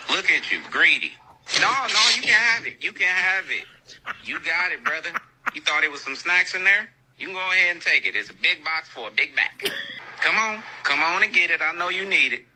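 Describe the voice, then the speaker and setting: A man's voice: a little high, expressive, a little fast, sharp tone, a little hiss or hum, poor audio. An American man teasing and taunting someone in colloquial banter, repeating himself for effect. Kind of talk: mocking, teasing street-level banter.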